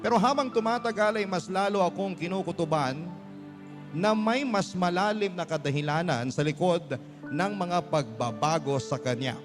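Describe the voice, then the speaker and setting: A man's voice: high voice, moderate pace. A man reading a personal letter as a radio storyteller, in dramatic scripted narration. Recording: clear music bed behind voice. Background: background music.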